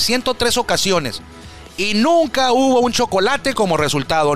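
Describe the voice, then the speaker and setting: A man's voice: high-pitched, sharp tone. Chatty man talking baseball stats. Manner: chatty, rambling, self-correcting. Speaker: baseball stats radio host. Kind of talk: casual sports talk.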